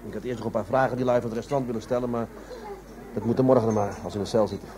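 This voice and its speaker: An actor playing a gruff, world-weary police adjutant in a radio drama, blunt and matter-of-fact. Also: male voice, lowish voice, steady tone, very fast, crowd noise, natural voice. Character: gruff, world-weary male police adjutant who masters his anger.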